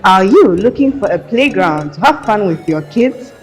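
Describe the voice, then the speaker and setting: A man's voice: high-pitched, very animated, normal speed. A man reading a scripted radio-style advertisement in an upbeat promotional tone. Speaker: advert voice-over. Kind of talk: scripted ad read. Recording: music bed behind voice.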